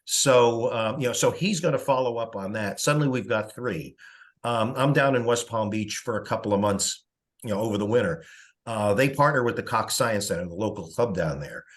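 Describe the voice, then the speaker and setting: A man's voice: lowish voice, normal speed. A man giving a rambling, conversational update as a coordinator on an online meeting call. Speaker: publicity coordinator reporting at a committee meeting. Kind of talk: informal spoken report on a teleconference.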